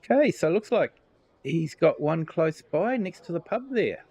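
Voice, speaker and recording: A man's voice: on the high side, lively intonation, moderate pace, a little mellow. A man with a New Zealand accent streaming a farming game, chatting casually and reading out viewer comments. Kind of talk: casual live gameplay commentary.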